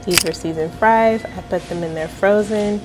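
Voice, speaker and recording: A woman's voice: medium pitch, moderate pace, over music, poor audio. A young woman vlogging casually from her home kitchen, chatty and self-deprecating, getting over a cold. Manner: relaxed, chatty, self-deprecating, many fillers. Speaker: vlogger reviewing an air fryer. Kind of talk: casual cooking vlog.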